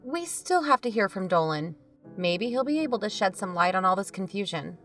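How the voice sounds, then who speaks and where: A woman playing a calm, patient and skeptical podcast co-host in an audio drama, reasoning in a measured way. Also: mid-range voice, soft background music. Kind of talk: audio fiction podcast dialogue.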